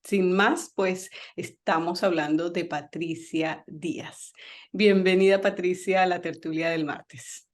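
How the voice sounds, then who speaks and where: A woman's voice: on the low side, fairly even intonation, takes it slowly. A woman hosting a Spanish-language talk show, welcoming listeners and chatting with her guest through questions and fillers. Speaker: host. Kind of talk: radio talk show interview.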